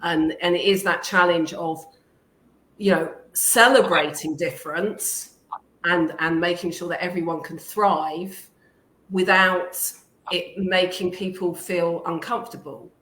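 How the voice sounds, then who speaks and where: Low-voiced teacher woman in an echoey room. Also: steady tone, unhurried, a bit bright. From England. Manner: thoughtful, hesitant, many ums. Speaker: guest, teacher. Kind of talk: casual interview talk. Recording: echoey room with faint noise.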